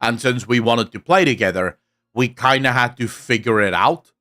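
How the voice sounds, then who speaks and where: Soft-accented South African streamer. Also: male voice, medium pitch, moderate pace. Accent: soft South African English. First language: Afrikaans. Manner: candid, swears casually, answers chat. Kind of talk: casual live-stream chat, reading comments.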